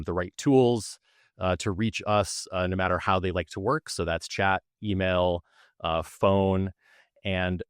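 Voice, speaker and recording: A man's voice: low voice, no echo, clean studio sound. A man being interviewed as a guest, explaining how his support team works in a conversational way. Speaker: technical support manager, guest.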